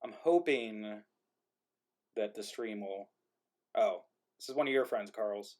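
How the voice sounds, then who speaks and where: Man hosting a casual, halting live stream. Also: fairly low pitch, a little mellow. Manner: halting, thinking aloud while troubleshooting. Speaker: host of an online live-streamed play reading. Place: remote group video stream.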